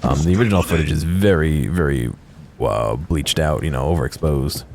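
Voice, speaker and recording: A man giving casual, unscripted video game commentary in a halting way, as if thinking aloud. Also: very deep voice, darkish tone, slight background noise. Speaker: host of a game exploration video. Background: music behind the voice.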